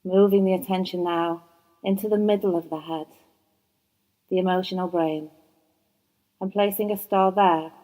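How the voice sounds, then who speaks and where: A woman teaching yoga nidra, guiding a relaxation meditation in a soft, soothing voice, slow and unhurried with long pauses. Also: on the low side, steady tone, low-quality recording. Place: yoga training course.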